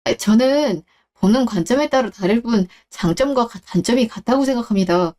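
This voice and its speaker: A woman's voice: on the high side, animated, normal speed, a little mellow, close to an everyday voice. A woman playing a smug male job applicant, an ex-husband who talks in long, self-justifying answers in a story radio drama.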